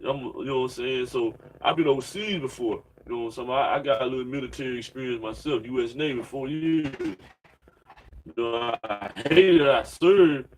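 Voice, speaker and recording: A man's voice: medium pitch, fairly quick, a little mellow, a little hiss or hum, low-quality recording. Slangy, rambling man in his late thirties. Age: 30s. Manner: slangy, rambling, fills with "man" and "you know what I'm saying". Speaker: religious preacher. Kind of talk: informal preaching monologue with scripture reading.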